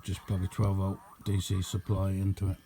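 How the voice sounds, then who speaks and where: A man's voice: deep voice, monotone, moderate pace, warm tone, soft background music. A man giving a casual, unscripted show-and-tell as a hobbyist, rambling along in an understated way. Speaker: hobbyist demonstrating a homemade radio.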